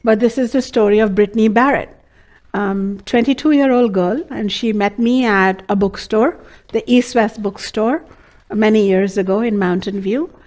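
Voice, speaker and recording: A woman's voice: medium pitch, normal speed, a little mellow. A woman with an Indian accent teaching a class, telling a case study in an earnest way with many fillers. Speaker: Ayurveda teacher. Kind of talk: lecture to students.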